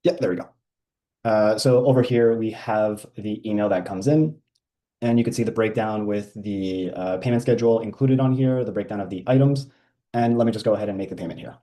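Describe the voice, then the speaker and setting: A man giving an informal, step-by-step software walkthrough as a demo presenter. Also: lowish voice, fairly quick. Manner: explanatory, step by step.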